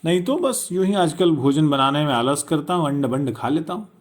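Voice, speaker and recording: A man's voice: a little high, lively intonation, normal speed, pitched above the performer's own voice, close to an everyday voice. A man voicing a poor, melancholy man who protests in a baffled, resigned and plaintive way in an audio story. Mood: dejected.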